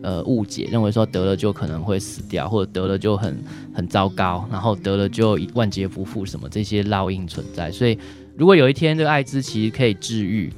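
A man's voice: on the low side, brisk, over music. A man with a Taiwanese Mandarin accent, a doctor answering as an interview guest, explaining things in a hesitant way with frequent tag particles.

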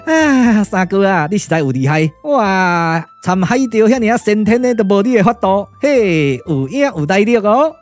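An actor with a Taiwanese accent playing a comic, boastful, loyal sidekick in puppet radio drama, earthy and full of exclamations. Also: male voice, sits high, sing-song, normal speed, few pauses, faint music behind. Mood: amused, admiring. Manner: colloquial, exclamatory, drawling.

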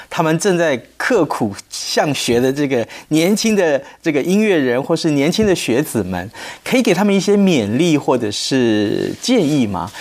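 A man with a Taiwanese Mandarin accent hosting a radio interview, putting questions politely and deferentially in measured chunks. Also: medium pitch, darkish tone. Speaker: radio programme host, interviewer. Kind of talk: conversational interviewing.

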